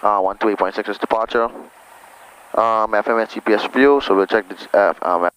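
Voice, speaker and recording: A man's voice: lowish voice, steady tone, brisk, a bit bright. A man giving informal, reassuring flight instruction as a pilot, talking casually through checks and controls over an aviation headset. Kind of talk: casual cockpit instruction and radio calls. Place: small plane cockpit in flight operations. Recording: noisy aircraft cockpit intercom.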